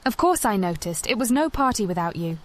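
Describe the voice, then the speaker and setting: A woman's voice: mid-range voice, very animated, brisk, natural voice. A woman playing a bold, flirtatious elven sorcerer in a fantasy game, speaking directly and with confidence. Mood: encouraging. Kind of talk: cinematic fantasy game acting.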